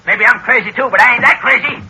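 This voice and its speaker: A man's voice: extremely high pitch, quick pace, pushed and bright, breathy edge, exaggerated voice. An actor playing a half-crazy, murderous backwoods man with a rural American accent, in old radio drama style. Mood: defensive. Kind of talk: 1930s-40s radio acting. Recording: noisy old radio recording.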